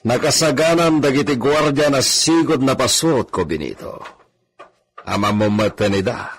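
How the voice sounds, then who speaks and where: An animated, commanding landowner of medium pitch in an Ilocano radio drama. A man acts him out with an Ilocano accent, giving orders to his men. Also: moderate pace, slight background noise, lightly acted. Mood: grave, commanding.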